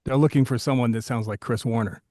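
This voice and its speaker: A man's voice: mid-range voice, quick pace, warm tone, no room sound, studio quality. A man co-hosting a podcast as a voiceover coach, chatting casually and joking with candid, occasional swearing.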